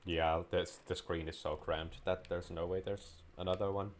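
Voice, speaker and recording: Low-voiced man, rambling game commentary. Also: little pitch movement, normal speed, a little hiss or hum. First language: Finnish. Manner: rambling, wanders into tangents and restarts sentences. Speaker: gameplay commentator. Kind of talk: casual let's-play commentary.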